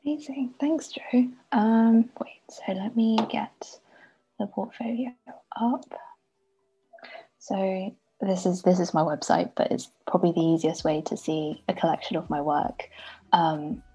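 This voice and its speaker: A woman's voice: mid-range voice, fairly even intonation, a little slow, mellow, lo-fi sound, slight background noise. A young woman from Taiwan, an illustrator chatting as a guest over a video call from home, often giggling. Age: young adult. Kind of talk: casual interview chat.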